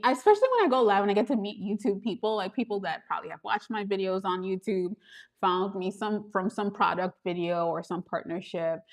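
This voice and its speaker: A woman with a Trinidadian accent chatting live with viewers as a YouTube coach, bubbly and laughing often, recorded on a small handheld camera. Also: mid-range voice. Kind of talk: casual livestream chat with viewers. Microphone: handheld gimbal camera.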